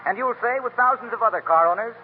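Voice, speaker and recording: A man's voice: very high voice, quick pace, narrow, tinny audio, heavy background noise. An American man announcing in polished, formal 1930s radio style, like a sponsor's salesman, on an old broadcast recording.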